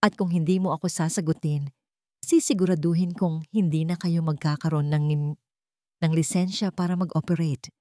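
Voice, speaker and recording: A woman's voice: low voice, fairly even intonation, normal speed, mellow, no echo, studio quality, close to an everyday voice. A woman voicing a wealthy husband in an audio drama, speaking in a firm, cold, demanding way. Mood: cold, threatening. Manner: firm, commanding.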